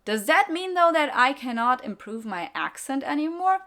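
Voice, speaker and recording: A woman's voice: high-pitched, big pitch swings, moderate pace, bright, forceful tone. A young adult woman hosting her own podcast, English not her first language, talking bluntly and candidly in a solo monologue with sarcastic asides. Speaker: podcast host and YouTuber.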